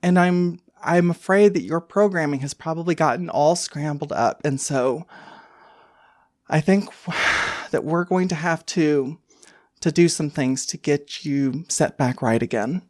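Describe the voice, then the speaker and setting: A woman voicing an older lady computer program in a tabletop game, polite and apologetic, with gentle endearments. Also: sits low, measured pace, dry recording, clean studio sound. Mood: gently concerned. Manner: courteous, hesitant, endearments like sweetie and dear.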